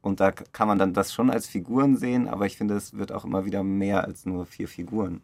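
A low-voiced man speaks casually and reflectively in an interview. His voice has a lot of fry, and his phrasing is loose and informal. The sound is dry, with hardly any room in it. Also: fairly even intonation, fast talker, a little mellow, no room sound. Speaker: radio play director interviewed.

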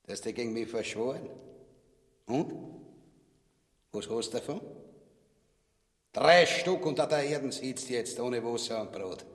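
A man's voice: medium pitch, animated, unhurried, long pauses, natural voice. An actor playing a vulgar, gloating petty tyrant king in a grotesque radio comedy, mocking and rambling in Viennese dialect. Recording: echoey space with long reverb.

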